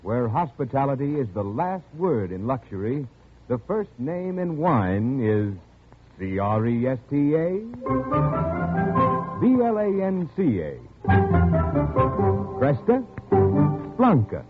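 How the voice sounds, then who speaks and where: Animated male 1940s radio ad announcer. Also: mid-range voice, slow, long pauses, over loud music. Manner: polished, emphatic salesmanship. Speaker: commercial announcer. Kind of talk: 1940s radio advertising read. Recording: muffled, tinny old radio broadcast.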